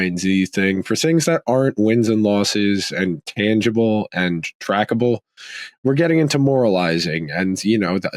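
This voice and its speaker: A man with a fairly low voice hosts a sports podcast, roughened by a slight rasp. He talks casually and thinks aloud, often circling back to restart a phrase. The sound is dry and close, with no room around it. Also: dry recording. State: slightly raspy from a throat tickle. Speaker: podcast host.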